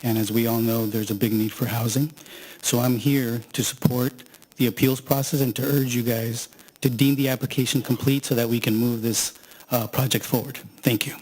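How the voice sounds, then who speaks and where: A man's voice: mid-range voice, little pitch movement, moderate pace, darkish tone, breathy edge, poor audio. A man speaking as a program director, giving formal public comment at a meeting.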